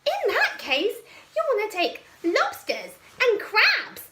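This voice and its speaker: A woman's voice: very high voice, huge pitch swings, slow pace, sharp tone, echoey room, a little hiss or hum, pitched above the performer's own voice, full character voice. A woman voicing a big, grumpy, easily insulted female monster in a storybook, with an indignant, dramatic manner. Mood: advising.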